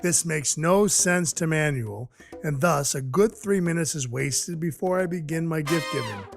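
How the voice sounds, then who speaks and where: A man playing a cynical, hard-bitten Icelandic dwarf who works for Santa, narrating an audio drama in a wry, deadpan, formal way. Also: on the high side. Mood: dry, weary. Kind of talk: noir-like first-person audio drama narration and dialogue. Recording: music behind the voice.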